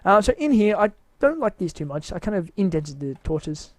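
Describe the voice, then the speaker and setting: A man's voice: high voice, big pitch swings, moderate pace, dry recording. A man giving a casual, rambling walkthrough of his game build, tired and with his voice wearing out, hesitant and mocking himself.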